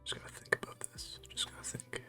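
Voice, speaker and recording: A man's voice: quick pace, bright, forceful tone, faint music behind. A man casually thinking aloud to himself as he plays a quiz, muttering and often trailing into a near-whisper. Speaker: challenge player.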